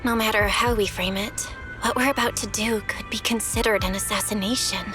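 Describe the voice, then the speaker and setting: A woman playing an earnest, feisty and loyal young woman, blunt and expressive, in video game acting. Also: mid-range voice, fairly quick, lightly acted. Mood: nervous, flustered. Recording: music under the voice.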